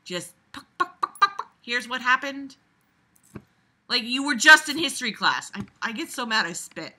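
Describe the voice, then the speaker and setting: Bright, forceful, exasperated reader-commentator. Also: medium pitch, moderate pace. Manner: exasperated, sarcastic, blunt and swearing; animated, emphatic, jumps from muttering to loud outbursts. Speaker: reader reviewing a book aloud. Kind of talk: casual running commentary while reading a novel aloud.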